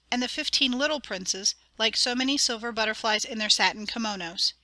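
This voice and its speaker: A woman narrates a fairy tale with clear, expressive storytelling. Her voice is bright and rather high. The recording is close and dry, with no room sound. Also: dry recording. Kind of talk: dramatic audiobook reading.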